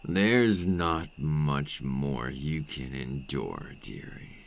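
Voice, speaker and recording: Man as menacing male vampire, ASMR roleplay, gravelly, drawn-out deliberate delivery, silky, patient, taunting. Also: a little hiss or hum, heavily acted. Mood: cold warning. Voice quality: very deep, gravelly. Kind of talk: intimate ASMR roleplay acting. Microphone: close microphone.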